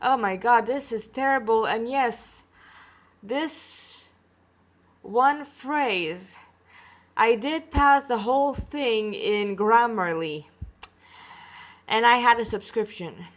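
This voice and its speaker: A woman's voice: a little high, slow pace, long pauses. A woman reading her own amateur fan fiction, breaking into candid, self-deprecating, chatty asides.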